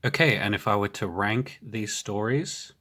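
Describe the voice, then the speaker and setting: A man talks through short stories he has read, stuffy with a cold. His voice sits very low and he takes his time, speaking plainly with fillers and small hedges. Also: a little slow. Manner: relaxed, plain-spoken, with fillers and hedging asides. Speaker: book reviewer. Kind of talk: casual book review monologue.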